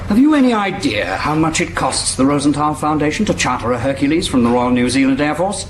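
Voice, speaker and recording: A man's voice: on the high side, big pitch swings, brisk, noisy background. A man acting a firm, sardonic expedition chief in an audio drama, speaking with dry authority. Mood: firm refusal. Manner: authoritative, dryly sarcastic. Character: brisk, sardonic male Antarctic base chief, firm and unyielding.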